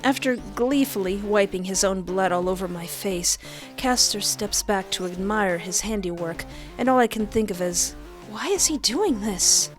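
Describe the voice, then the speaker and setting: A young woman hosting a countdown of game characters, excitable and goofy, often interrupting herself. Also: mid-range voice, moderate pace, faint music behind. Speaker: youtube host.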